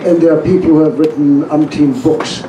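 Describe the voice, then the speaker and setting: A man from outside the United States with a fairly high voice gives an informal speech of praise. His phrasing is halting and emphatic, picked up from a distance in an echoey room with clear noise. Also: fairly even intonation. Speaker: workshop student praising the host. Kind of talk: informal testimonial speech to an audience. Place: echoey room at a live event.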